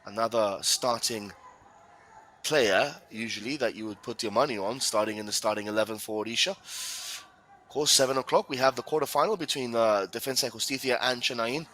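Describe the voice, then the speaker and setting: A man's voice: mid-range voice, brisk, pushed and bright. A man from Gibraltar doing live play-by-play football commentary, chatty and reading out viewer questions.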